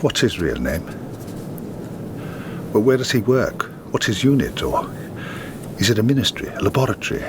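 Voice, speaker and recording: A man's voice: lowish voice, big pitch swings, moderate pace, long pauses, noisy background. A dry, self-mocking man. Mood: probing. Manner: dry, ironic questioning. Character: wry, self-mocking man, worldly and probing. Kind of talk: film drama dialogue.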